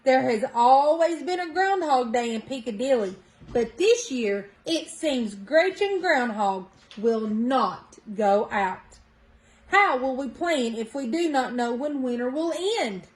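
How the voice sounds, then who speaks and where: A woman's voice: high voice, lively intonation, slow pace. A woman voicing a dramatic small-town newspaper report in a children's storybook, with grave, emphatic announcing. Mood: alarmed.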